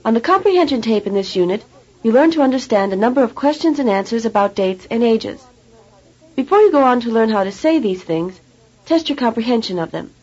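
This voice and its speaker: Woman reading language lesson instructions, kinda high husky voice, muffled old tape sound. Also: lively intonation, a little mellow. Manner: measured, clear teacherly delivery. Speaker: language course narrator. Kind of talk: scripted instructional narration. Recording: dull lo-fi tape recording.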